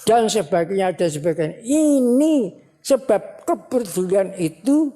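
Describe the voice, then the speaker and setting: A woman religious teacher giving a sermon at a ceremony, speaking slowly and repeating phrases for emphasis. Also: medium pitch, sing-song, mellow. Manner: slow, repetitive, emphatic preaching. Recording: long hall reverb.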